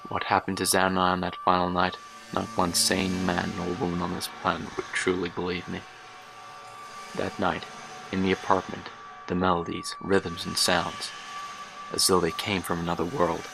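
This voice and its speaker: A man's voice: low voice, completely flat delivery, vocal fry, over music. An actor playing a haunted older man, recalling his youth in a grave, literary horror monologue for an audio drama. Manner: grave, literary, measured storytelling. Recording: noisy track with ambience behind.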